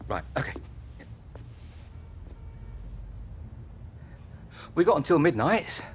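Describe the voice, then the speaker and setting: An actor playing a middle-aged football agent who talks in a pleading, apologetic way. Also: male voice, on the high side, big pitch swings, fast, slight background noise. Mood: flustered. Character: aging male football agent, desperate and guilty.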